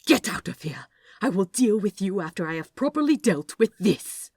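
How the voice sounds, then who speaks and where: A woman's voice: medium pitch, very animated, moderate pace, a little mellow, husky, no room sound. Woman acting out male angel, storybook character, fierce, fussy, caring, emphatic, dry close sound. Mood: furious, commanding. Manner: commanding, snapping, emphatic.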